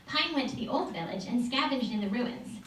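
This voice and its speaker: A woman reading as a gentle schoolteacher, echoey. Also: fairly high pitch, expressive. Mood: gently evasive. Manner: gentle, measured explaining. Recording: echoey room, distant mic, hissy noise.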